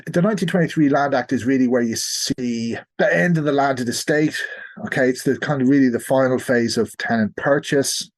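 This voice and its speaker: A man's voice: medium pitch, normal speed. A man with an Irish accent, speaking as a guest historian in an explanatory interview, often posing questions and answering them himself. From Ireland.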